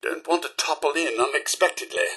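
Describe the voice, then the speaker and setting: An actor playing a polite, fussy, sardonic robot butler in a video game, with a British accent and a prim, theatrical, dryly sarcastic manner. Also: male voice, a little high, a little fast, sharp tone, husky, low-quality recording. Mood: eager, courteous. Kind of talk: scripted game companion dialogue.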